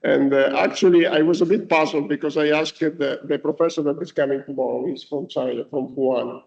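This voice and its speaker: Man, native Italian, lecturer, halting with restarts, gravelly, dull lo-fi sound. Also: a little high, steady tone, a little mellow. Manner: halting, many restarts and fillers. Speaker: university lecturer. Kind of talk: lecture to students. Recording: dull lo-fi recording.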